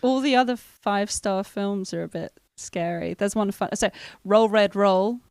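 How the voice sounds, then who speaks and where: A woman's voice: mid-range voice, normal speed, darkish tone, clean tone, no room sound, clean studio sound. A woman co-hosting a casual live stream, chatting earnestly and recommending films while getting over a cold. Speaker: co-host. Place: indoors, live stream studio.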